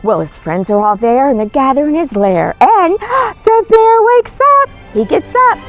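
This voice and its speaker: A woman telling stories to young children in an expressive, coaxing, exclaiming read-aloud manner. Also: high voice, moderate pace, soft background music. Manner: animated, exclaiming, coaxing, dramatic gasps. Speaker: costumed storyteller as Mrs. Claus.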